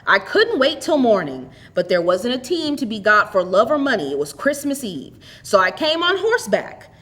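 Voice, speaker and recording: Woman reading as a bright, hearty homecoming brother. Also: a little high, big pitch swings, moderate pace. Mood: excited, breathless. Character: long-lost older brother returned home rich, hearty and eager. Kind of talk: dramatic storybook reading.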